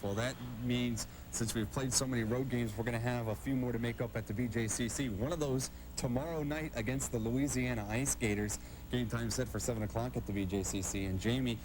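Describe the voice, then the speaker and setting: A man's voice: lowish voice, noisy background. A man hosting a sports interview show with a polished broadcast delivery. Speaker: TV show host and hockey play-by-play announcer.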